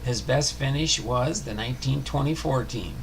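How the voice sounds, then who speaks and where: A man reading a scripted sports history narration aloud, haltingly, often stumbling and correcting himself. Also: medium pitch, gravelly, noisy background. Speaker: host of a sports history show. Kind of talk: scripted narration read aloud, baseball biography.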